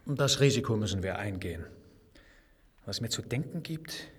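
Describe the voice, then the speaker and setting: An actor playing a skeptical, decisive leader of hidden survivors in a German radio play, dry and questioning. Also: male voice, on the low side, lively intonation, normal speed, slightly rough. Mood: decisive, concerned. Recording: distant-sounding, long reverberant hall.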